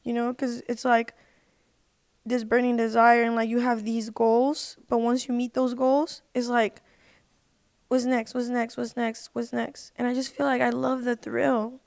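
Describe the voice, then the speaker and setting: A woman with a fairly high voice chats as an interview guest in a close, dry recording. Her talk is informal and slangy, and she repeats phrases to drive them home. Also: level tone, measured pace, vocal fry, a little mellow, no echo. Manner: informal, slangy, emphatic with repetition. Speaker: podcast guest, independent singer. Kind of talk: casual personal storytelling in an interview.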